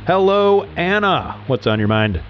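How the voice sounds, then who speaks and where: A sardonic late-night call-in host in a radio drama. His delivery is theatrical and world-weary, with lively rises and drops. The sound is slightly dull, like a limited broadcast. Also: a little high, unhurried, faint music behind.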